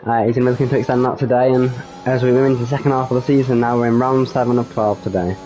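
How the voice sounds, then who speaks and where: A man giving solo live commentary on an online racing league, slightly croaky from a cold, hesitant with frequent ums and self-corrections. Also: medium pitch, fairly even intonation, rapid-fire, warm tone, poor audio.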